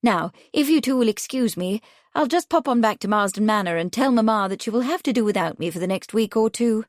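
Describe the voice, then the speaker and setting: Woman reads eager upper-class young woman, brisk and insistent, storybook, dry booth. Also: fairly high pitch, expressive, dry recording, close to an everyday voice. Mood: cheerful. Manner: brisk, emphatic, persuasive.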